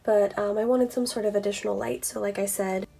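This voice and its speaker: A woman with a middle-pitched voice talks casually to her viewers as a video creator. She explains things in an informal, conversational way, in the style of a behind-the-scenes vlog. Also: fairly even intonation, fairly quick, gravelly, dark tone, slightly rough. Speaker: ASMR video creator and vlogger.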